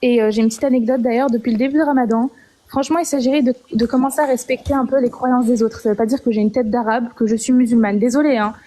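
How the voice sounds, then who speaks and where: Hesitant young woman with a mellow voice. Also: fairly high pitch, fairly even intonation, fast. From Algeria. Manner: hesitant, frequent filler sounds and restarts. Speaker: caller. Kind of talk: personal testimony on a call-in show.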